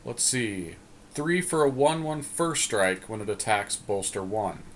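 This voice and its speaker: Low-voiced man in casual game commentary, noisy recording. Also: lively intonation, a little slow. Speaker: podcast co-host. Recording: clearly noisy background.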